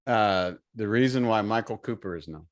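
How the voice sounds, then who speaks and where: A man's voice: lowish voice, moderate pace. A man from Indiana with an American accent, co-hosting a casual chat show in a jokey, rambling way. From Indiana, United States. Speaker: show co-host. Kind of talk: casual chat about favorite books.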